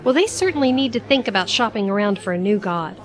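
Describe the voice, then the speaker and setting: A woman's voice: medium pitch, animated, quick pace, lightly acted. A woman playing a flippant, frank travelling companion with a casual, wry manner in an audio drama.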